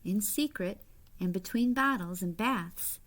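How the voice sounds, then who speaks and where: A woman reading a children's picture book aloud like a teacher, with expressive, deliberate phrasing and short asides to young listeners. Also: mid-range voice. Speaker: read-aloud storyteller, teacher-like.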